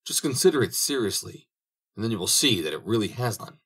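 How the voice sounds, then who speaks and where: A man's voice: on the low side, big pitch swings, a little fast, a bit bright, husky, pitched above the performer's own voice, full character voice. A man voicing a sly, greedy soldier who lies boldly, his voice raised and pleading. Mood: wheedling.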